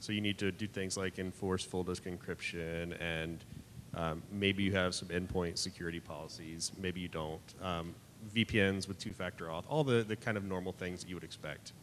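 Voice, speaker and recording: A man's voice: steady tone, moderate pace, fairly bright tone. A man speaking as a conference panelist, explaining points confidently. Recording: long hall reverb, faint rumble.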